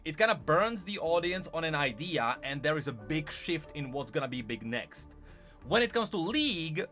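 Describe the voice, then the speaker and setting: A man's voice: fairly high pitch, sharp tone. A man doing casual livestream commentary on gaming for his chat, speaking emphatically and repeating phrases for stress. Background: background music.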